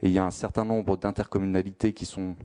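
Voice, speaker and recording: A man giving a formal spoken presentation of a statistical study in a measured, careful, explanatory manner. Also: low-pitched, flat delivery, dark tone.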